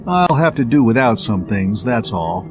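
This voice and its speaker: A man's voice: medium pitch, very animated, moderate pace, close to an everyday voice. Man playing an eager, brainy spaceman inventor over music. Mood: explaining. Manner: technical explaining mixed with breezy slang. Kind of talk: dramatized pulp science fiction audiobook.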